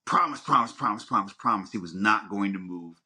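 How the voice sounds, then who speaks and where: A man's voice: fairly low pitch, lively intonation, pushed and bright. A man co-hosting a podcast, talking casually and emphatically, often repeating words as he works through his point. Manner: emphatic, repeats words, halting rambling delivery. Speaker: podcast co-host. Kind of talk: casual opinionated discussion.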